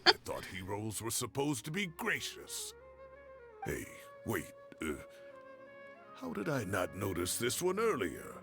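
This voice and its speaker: Gravelly old professor from a video game, super swoopy and teasing, music behind. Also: male voice, on the low side, a little slow, heavily acted. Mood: bantering. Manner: theatrical, teasing, animated. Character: jovial, rambling, self-deprecating old male professor. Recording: game audio with background music.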